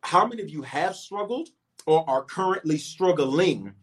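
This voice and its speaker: A middle-aged man preaching with a voice pitched a bit high for a man. He swings from soft, conversational asides into sudden loud, emphatic bursts. He repeats lines for weight and talks straight to the people watching online. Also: animated, moderate pace. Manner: talks directly to online viewers, calling for waves and shout-outs. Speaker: pastor and bishop. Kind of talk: livestreamed sermon and prayer.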